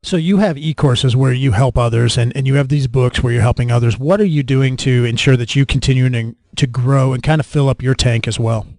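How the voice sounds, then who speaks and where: A man's voice: medium pitch, brisk, few pauses, a little mellow, dry recording. An American man hosting a casual interview in a conversational style. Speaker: interviewer, podcast host.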